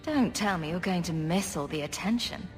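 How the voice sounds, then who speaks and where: A woman's voice: on the low side, animated, normal speed, natural voice. An actress playing a witty, self-assured mage adventurer in a video game, teasing and dryly playful in her delivery. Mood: teasing, supportive. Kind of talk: dramatic video game acting. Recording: long reverb, music behind.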